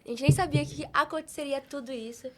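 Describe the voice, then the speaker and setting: A woman's voice: high-pitched, steady tone, quick pace. A woman of eighteen with a Brazilian accent, talking casually as a guest on a podcast. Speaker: podcast guest, youth preacher.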